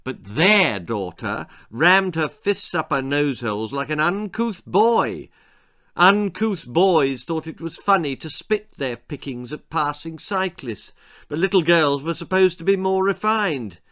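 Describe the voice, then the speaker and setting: Man telling stories with animated swooping delivery. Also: on the high side. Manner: dramatic, comic, emphatic with pauses. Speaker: author reading their own story. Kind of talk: theatrical children's storytelling, scripted narration.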